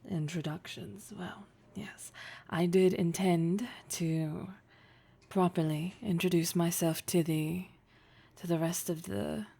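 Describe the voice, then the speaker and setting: Casting: actress as commanding female executive for roleplay audio, formal, flirtatious, condescending; gravelly fry, unhurried delivery. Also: on the low side, steady tone, warm tone, heavily acted. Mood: businesslike, wry. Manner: formal, measured, condescending, teasing, with deliberate pauses. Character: poised, flirtatious, domineering woman boss and startup investor. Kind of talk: roleplay audio drama addressed to the listener.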